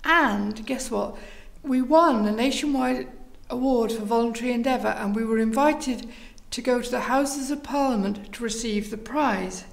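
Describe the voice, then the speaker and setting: A woman speaks in a fairly high voice with a strong creak running through it. She answers an interviewer, explaining and telling stories as someone who leads a theatre group. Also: moderate pace.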